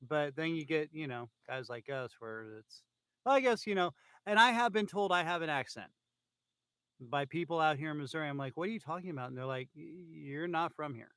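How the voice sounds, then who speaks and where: A man from California chats loosely, circling through fillers and half-started thoughts. His voice sits a little high and comes through smooth and clean. The sound is dry and close, picked up on a phone. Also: animated, brisk, a bit bright, no echo. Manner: rambling, full of fillers and restarts. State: on pain pills. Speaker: podcast host. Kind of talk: casual chat. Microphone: iPhone.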